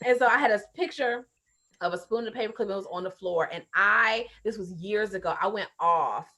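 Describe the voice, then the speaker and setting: A middle-aged American woman chatting on a podcast, telling stories and giving advice in a folksy, expressive way. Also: medium pitch, fairly quick. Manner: folksy, expressive, acts out exchanges. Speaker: podcast guest, mother and coach. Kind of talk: animated conversational storytelling and advice.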